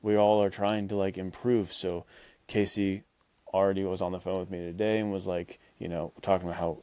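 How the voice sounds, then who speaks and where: A man's voice: sits low, flat delivery, brisk, warm tone, clean tone, dry recording. A man hosting a podcast in casual chat, talking in a rambling, encouraging way peppered with "like". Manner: rambling, encouraging, heavy use of "like". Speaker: podcast host.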